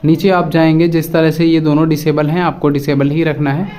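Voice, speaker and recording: Man, slightly high voice, casual tutorial presenter, instructional, repetitive, step-by-step delivery. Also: level tone, fairly quick, dark tone. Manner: repetitive, instructional, addresses viewers directly.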